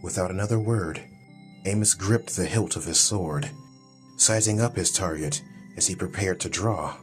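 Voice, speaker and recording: A man's voice: deep voice, normal speed, faint music behind. A man reading scripted fantasy audiobook narration as the storyteller.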